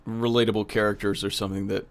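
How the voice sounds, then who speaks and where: A man talking casually as an audio producer in a relaxed-format, behind-the-scenes conversation. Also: on the low side, quick pace.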